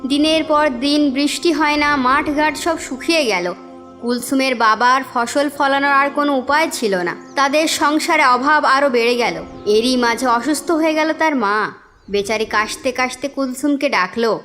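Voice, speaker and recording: A woman's voice: sits high, moderate pace, fairly bright tone. A woman narrator reading a scripted story for a cartoon in a storytelling style. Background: music.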